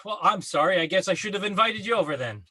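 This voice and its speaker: A man's voice: sits high, expressive, quick pace, sharp tone, heavily acted. A man playing a grumpy, bossy best friend who gives blunt advice in a sitcom table read. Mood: dismissive. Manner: blunt, exasperated, emphatic. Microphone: video call.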